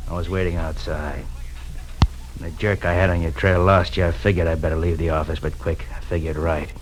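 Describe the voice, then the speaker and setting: A man's voice: sits low, level tone, fairly quick, lightly acted. An American actor playing a sneering, crooked tough guy in a 1940s radio noir drama, threatening and sarcastic. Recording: noisy old radio recording.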